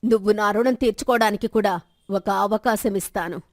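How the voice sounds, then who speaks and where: A woman's voice: mid-range voice, brisk, no echo, studio quality, close to an everyday voice. A woman voicing a merciful female ghost who speaks solemnly and with authority in a story. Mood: benevolent, commanding. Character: merciful female ghost granting a boon, commanding.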